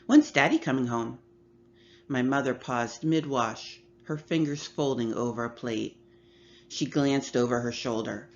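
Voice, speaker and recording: A woman reading a first-person horror story aloud in a measured, sometimes hushed voice. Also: very deep voice. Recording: slightly muffled with faint hum.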